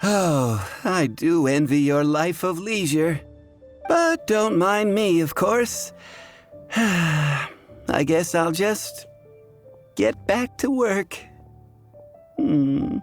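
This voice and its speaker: A man's voice: fairly high pitch, expressive, drawn-out words, pauses often, soft background music. A man voicing a wry, chatty young tavern worker in a video game, wordy and theatrical. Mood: wistful.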